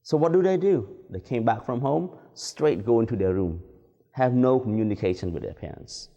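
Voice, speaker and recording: A man explaining things in a measured, explanatory way. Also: mid-range voice, lively intonation, warm tone. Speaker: interviewee. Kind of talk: explanatory commentary. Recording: dull sound in a reverberant room.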